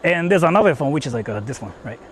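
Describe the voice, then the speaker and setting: A man's voice: fairly high pitch, very animated, fast talker, a little hiss or hum. Rambling man streaming from his phone. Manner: rambling, many fillers, explains tech setup. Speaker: IRL streamer. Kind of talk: casual live-stream talk to chat. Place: outdoors in the countryside, on the move. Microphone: phone held while streaming.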